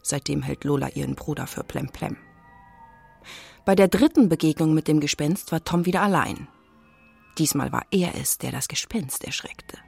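A woman's voice: sits low, normal speed, gravelly, darkish tone, husky, heavily acted. A woman playing a nosy neighbour who narrates a children's radio play in a confiding, suspenseful way. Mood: recapping. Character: nosy gossipy neighbour woman telling the story. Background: faint music.

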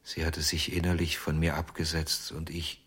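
An actor playing a haunted, obsessive ventriloquist in a German radio drama, speaking hesitantly with many pauses. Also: male voice, extremely low pitch, completely flat delivery, fairly bright tone, breathy edge, heavily acted. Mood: confessional. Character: haunted, obsessive male ventriloquist, middle-aged. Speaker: ventriloquist under questioning.

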